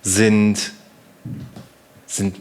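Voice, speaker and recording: A man's voice: lowish voice, monotone, drawn-out words, a little mellow. A man of thirty hosting a podcast interview, asking questions hesitantly with many fillers and restarts. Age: 30s. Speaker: podcast host.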